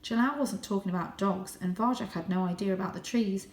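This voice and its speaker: A woman reads a children's story aloud. Her pitch is average and her delivery is expressive and dramatic. The recording is roomy, with a noticeable echo. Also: fairly quick. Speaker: storybook reader, teacher reading to children. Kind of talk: children's audiobook narration. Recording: roomy, echoing indoor sound.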